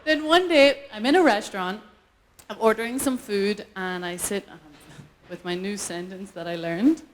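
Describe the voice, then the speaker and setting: A woman from Ireland with an Irish accent, performing an animated, self-mocking stand-up set on a live stage and laughing often. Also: mid-range voice, normal speed, slight background noise, low-quality recording. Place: live event stage before an audience.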